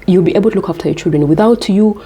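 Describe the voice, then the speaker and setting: A woman's voice: medium pitch, racing, dark tone, husky. A woman from Uganda, giving earnest, emphatic life advice to camera and repeating her key points.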